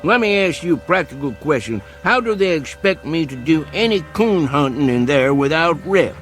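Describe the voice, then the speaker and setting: Casting: plain-spoken country coon hunter, stubborn, Southern rural drawl, expressive melody, faint music underneath. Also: male voice, fairly high pitch. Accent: rural Southern American. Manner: homespun, unhurried, plain-spoken. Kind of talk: vintage television drama acting.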